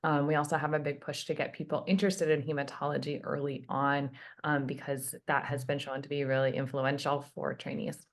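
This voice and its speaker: Low-voiced woman, lots of ums. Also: flat delivery, brisk, hardly any pauses. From Minnesota, USA. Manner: many filler ums, earnest. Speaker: hematology fellow, guest. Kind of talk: casual interview answers.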